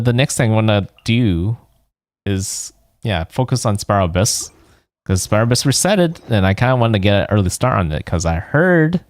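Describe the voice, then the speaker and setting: A man livestreaming a video game, chatting casually and thinking aloud in a rambling way. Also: lowish voice. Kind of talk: casual chat while playing a game.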